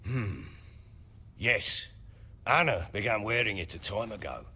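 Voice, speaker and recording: A burly middle-aged baron from a fantasy game. His voice is low, rough and forceful, swinging widely in pitch, with a gruff, defensive bluster. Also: expressive, measured pace, exaggerated voice. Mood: gruff, wary. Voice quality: rough, husky. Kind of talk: video game voice acting.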